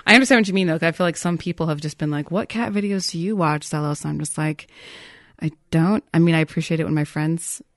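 A woman's voice: deep voice, fast talker, dry recording, studio quality. A woman hosting a casual interview, chatty and playful, laughing easily. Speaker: news talk show host.

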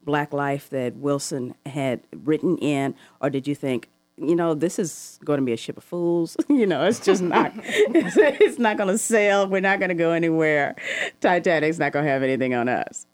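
A woman's voice: deep voice, lively intonation, moderate pace, no echo. Wordy, thoughtful woman radio host. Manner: thoughtful, wordy questions with soft laughs. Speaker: radio talk show host. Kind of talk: call-in radio interview. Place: radio studio.

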